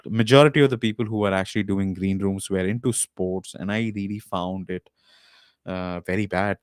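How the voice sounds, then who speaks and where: A man with a fairly low voice hosts a live podcast stream from a small home setup. He talks in a loose, rambling way, full of "uh"s and restarts. The desk mic sound is dry and close. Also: dry recording. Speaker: podcast host. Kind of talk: casual live-stream talk. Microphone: desk mic with podcast recorder.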